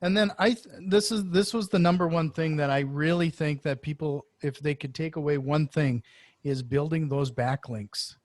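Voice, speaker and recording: Higher-pitched male podcast host, dry sound. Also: no echo. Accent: Norwegian-influenced. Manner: wordy, halting delivery with repeated words. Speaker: interview show host. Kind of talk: conversational podcast interview.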